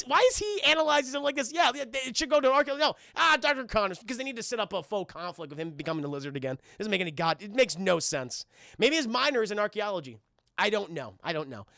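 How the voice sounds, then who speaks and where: A man streaming an animated, profane recap of a cartoon, scene by scene, for a live chat. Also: high-pitched, vocal fry, no room sound, clean studio sound. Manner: profane, acts out characters' dialogue excitedly.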